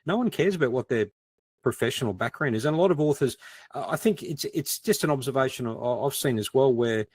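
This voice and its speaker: A man's voice: medium pitch, animated, a little fast, a little mellow, husky, dry recording, clean studio sound. A middle-aged man with an Australian accent, explaining things conversationally as a podcast guest. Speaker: podcast guest, ex-detective crime writer.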